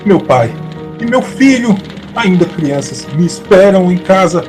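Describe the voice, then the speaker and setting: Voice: medium pitch, lively intonation, measured pace, over music, close to an everyday voice. An actor playing a desperate warrior who begs for his life in a theatrical, pleading way in an audio drama.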